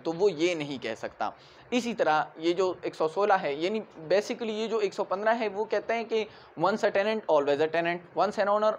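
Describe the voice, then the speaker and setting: A man in his twenties with a Pakistani accent, giving an explanatory law lecture in an emphatic way, repeating key points. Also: high voice. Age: 20s. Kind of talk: explanatory lecture mixing Urdu and English. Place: small indoor room in front of a whiteboard. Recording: muffled audio with clear background noise.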